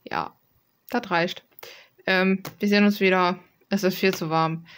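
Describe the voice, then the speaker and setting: A woman's voice: fairly low pitch, fairly even intonation, measured pace, a little hiss or hum. A young adult woman chatting casually in a rambling, slangy, self-deprecating way with mild swearing, like an artist presenting her own work in a vlog. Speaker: illustrator presenting own artwork. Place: small room at home, desk.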